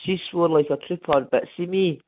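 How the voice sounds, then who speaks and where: A man's voice: fairly high pitch, fairly quick, a little hiss or hum. A man with a Scottish accent, rambling and apologetic on a casual phone call, tagging his sentences with questions. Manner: rambling, apologetic, keeps adding "eh?" and "right?". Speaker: caller, prospective legal client. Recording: narrow phone line.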